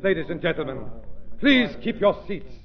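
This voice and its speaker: A man's voice: on the high side, big pitch swings, moderate pace. An actor playing a fraudulent male seance medium, a formal, theatrical showman in an old radio drama. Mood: indignant. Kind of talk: 1940s radio acting. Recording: dull, lo-fi vintage radio recording.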